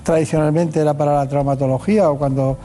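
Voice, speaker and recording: A man's voice: on the high side, fairly even intonation, quick pace, dark tone, a little hiss or hum. A man hosting a radio health show, interviewing a guest in a chatty way with frequent "eh" fillers.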